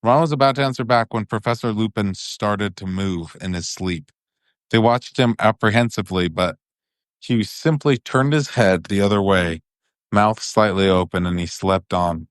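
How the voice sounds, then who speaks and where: Man, lowish voice, bedtime storybook narration, informal delivery, dry close sound. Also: normal speed, smooth, dry recording.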